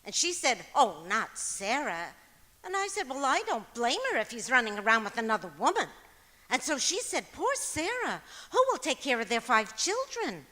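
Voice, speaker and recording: A bright, very high voice swoops through every line in a reverberant hall. A woman acts out a cheerful, scatterbrained wife from old-time radio comedy, chattering away innocently. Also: very animated, normal speed. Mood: chatty, oblivious. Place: performing arts center auditorium, live stage. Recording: stage microphone in echoing auditorium.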